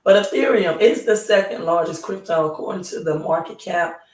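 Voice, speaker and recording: A hoarse woman hosting a live stream, talking slowly and pausing often to ask "right?". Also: on the low side, a little mellow. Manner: slow, halting, repeats "right?". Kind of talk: casual live stream talk. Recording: roomy, distant mic.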